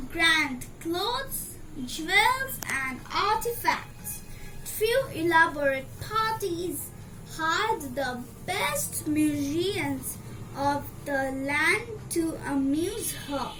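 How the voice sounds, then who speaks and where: A woman reading a children's story aloud in a deliberate, phrase-by-phrase storytelling delivery. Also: extremely high pitch, lively intonation, music in the background. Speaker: storybook reader. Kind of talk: scripted storybook narration for children. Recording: clearly noisy amateur recording.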